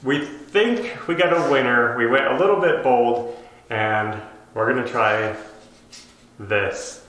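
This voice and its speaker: A man's voice: medium pitch, takes it slowly, a bit bright. A man in his thirties talking casually to camera, explaining things as a home renovation vlogger. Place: empty bedroom under renovation, indoors. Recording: very echoey empty room.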